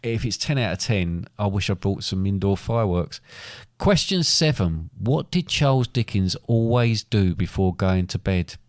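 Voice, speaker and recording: A man's voice: lowish voice, lively intonation, dry recording. A man co-hosting a casual podcast and reading out quiz questions, his voice a little worn as if he is losing it. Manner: slow, dry quizmaster delivery. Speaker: podcast co-host, quizmaster.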